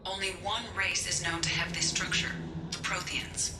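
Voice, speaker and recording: An actress voicing a composed female starship artificial intelligence in a video game, speaking in a precise, formal and measured way. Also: fairly low pitch, little pitch movement, roomy sound, over music, natural voice. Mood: detached, analytical.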